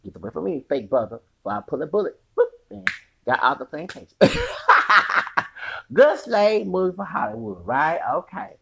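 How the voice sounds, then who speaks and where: A man's voice: fairly high pitch, wildly swooping pitch, normal speed, creaky, pushed and bright, breathy edge. A man hosting a solo podcast in a rambling, profane rant, with a feminine-sounding voice and frequent laughing, sing-song asides. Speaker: podcast host.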